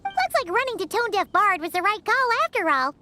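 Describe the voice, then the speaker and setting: A woman voicing a small, cheeky, impatient fairy companion in a video game, with a squeaky childlike voice and a whiny, exclamatory manner. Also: sing-song, brisk, sharp tone, soft background music, full character voice. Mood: curious, cheeky.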